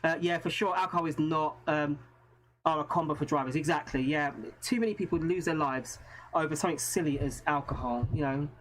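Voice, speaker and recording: A man's voice: a little high, fairly quick, a bit bright. A man from England with an English accent, hosting a live stream in a casual, chatty way and often thanking his viewers. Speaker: host.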